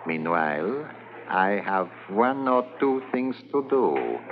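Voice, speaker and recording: An actor playing a formal, wary foreign smuggler in a 1950s radio drama. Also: male voice, fairly low pitch, big pitch swings, slow, full character voice. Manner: formal, courteous, foreign-accented. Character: smooth foreign smuggler man, suave and wary. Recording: dull old radio transcription, hiss.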